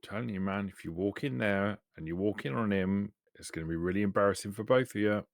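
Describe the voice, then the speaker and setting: Low, dry-sounding man bantering in a game. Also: quick pace, gravelly, no echo. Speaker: player in a tabletop game. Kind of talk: casual roleplay banter.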